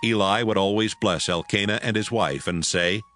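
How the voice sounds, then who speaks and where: A man's voice: fairly low pitch, normal speed, a bit bright, soft background music. A man narrating a scripted story for an audio drama.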